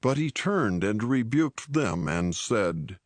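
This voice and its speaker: A man narrating scripture in a solemn, measured and formal reading style. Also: fairly low pitch, very animated, no room sound.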